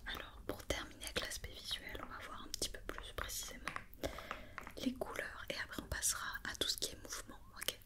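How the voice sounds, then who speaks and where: A woman speaking in a soft, breathy whisper, playing a patient, methodical doctor in an ASMR check-up roleplay. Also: a little fast. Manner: patient, methodical, repeating instructions and questions. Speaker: ASMR performer playing a doctor examining a robot.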